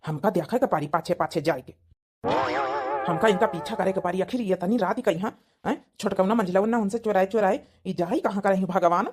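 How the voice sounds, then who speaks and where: A woman's voice: mid-range voice, a little fast, darkish tone, husky, faint music behind, close to an everyday voice. A woman voicing a suspicious, worried young village husband with an Awadhi accent, muttering and exclaiming in a comedy cartoon.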